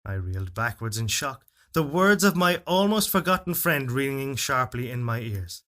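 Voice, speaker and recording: A man reading a literary fairytale as narrator, in a solemn, measured and expressive storytelling delivery. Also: mid-range voice. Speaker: story reader. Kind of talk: dramatic literary narration of a first-person fairytale.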